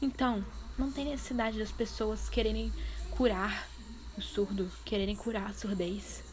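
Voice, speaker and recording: A woman's voice: mid-range voice, steady tone, normal speed, a little mellow, a little hiss or hum. A woman with a Brazilian Portuguese accent, talking straight to her viewers in a casual vlog and pressing her points with repeated questions. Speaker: vlogger voicing her deaf partner's signing.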